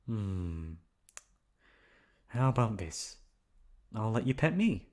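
A man's voice: fairly low pitch, big pitch swings, slow, a little mellow. A man acting as a teasing, affectionate boyfriend in an intimate ASMR roleplay, coaxing and pleading playfully. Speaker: voice actor performing an audio roleplay.

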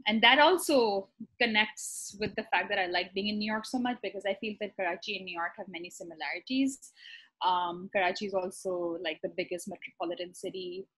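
Young woman, physician guest, from Pakistan. Slightly husky voice, casual, hesitant pacing, webcam call. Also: mid-range voice, a bit bright, low-quality recording. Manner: thoughtful, many ums and restarts. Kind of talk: casual conversational interview. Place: bright apartment room, indoors. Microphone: laptop webcam on a video call.

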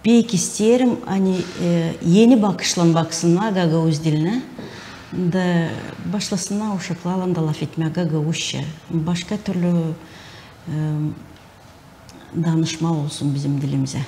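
A middle-aged woman with a Gagauz accent answers as an interview guest. Her voice is fairly low and dark, her manner earnest and appealing, with faint noise behind. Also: measured pace. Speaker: interview guest, animation studio head. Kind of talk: studio interview. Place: TV studio. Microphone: lapel.

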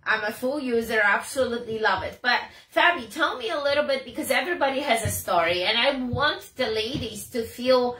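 Non-native english woman hosting a video chat, bright voice, kinda far from the mic, roomy sound. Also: on the high side, fairly quick, few pauses, slight background noise. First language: not English. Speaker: host. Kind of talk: casual interview. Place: home office with bookshelves, indoors. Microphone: webcam video call. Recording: roomy, distant webcam sound.

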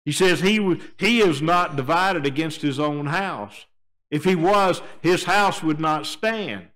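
An older man preaching a sermon at a church pulpit, moving between quiet, low stretches and raised, emphatic appeals. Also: expressive, measured pace. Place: church sanctuary, standing at a wooden pulpit. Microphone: pulpit microphone, filmed by a fixed camera.

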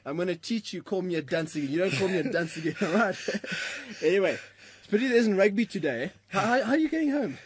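Blustering, dim schoolboy prankster played by a man, fairly high voice, animated, audio drama. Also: fast talker, slightly rough, no room sound. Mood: teasing, blustering. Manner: stammering, blustering. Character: excitable, slow-witted mischievous schoolboy.

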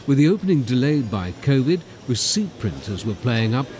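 Casting: male reporter, lively varied pitch, scripted read, faint noise. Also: normal speed. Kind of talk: news report voice-over.

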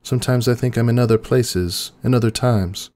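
A man's voice: medium pitch, normal speed, a little mellow, voice pushed up, lightly acted. A man voicing a solemn, earnest younger-sister pony princess, speaking formally and hesitantly in a story reading. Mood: melancholy.

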